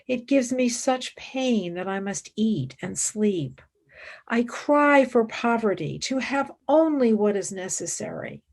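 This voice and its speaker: A woman's voice: medium pitch, creaky, a little mellow, exaggerated voice. An actress playing a fervent, devout woman philosopher and mystic in a radio play, speaking in intense, formal, reverent declamation. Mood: devout, hushed. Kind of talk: radio play acting, monologue and dialogue.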